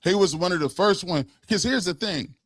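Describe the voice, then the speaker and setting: A man talking casually on a live-stream podcast, animated and emphatic, full of slang and quick laughs. His voice sits a little high, and it is recorded dry and close. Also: no room sound. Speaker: podcast host.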